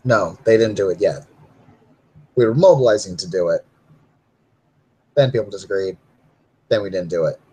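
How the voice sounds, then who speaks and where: Man playing a husky, self-deprecating urban werewolf. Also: fairly low pitch, expressive, moderate pace, long pauses, a little mellow, low-quality recording. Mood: resigned. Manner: wry, self-effacing, noncommittal. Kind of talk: in-character tabletop roleplay dialogue.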